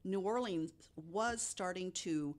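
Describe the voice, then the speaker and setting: A woman's voice: fairly low pitch, slow. A woman on a podcast panel recounting a story in casual, conversational speech.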